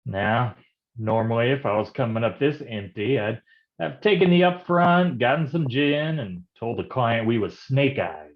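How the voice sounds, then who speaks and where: An actor playing a hard-boiled male private detective in a noir staged reading, gruff and wisecracking in old-time gumshoe slang. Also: medium pitch, measured pace, muffled recording, lightly acted. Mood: brooding.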